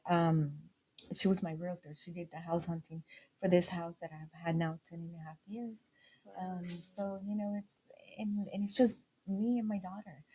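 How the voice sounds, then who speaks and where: Guest, weiblich, 40er Jahre, ricanischer Akzent, offen erzählend, mischt Spanisch ein. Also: female voice, fairly low pitch, a little mellow, slightly rough, poor audio. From Puerto Rico. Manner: candid and storytelling, switching into Spanish phrases. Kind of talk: casual interview.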